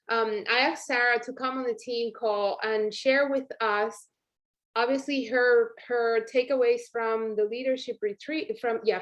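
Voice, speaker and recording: A woman hosting an online team call, giving an informal, encouraging motivational talk in a chatty way. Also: a little high.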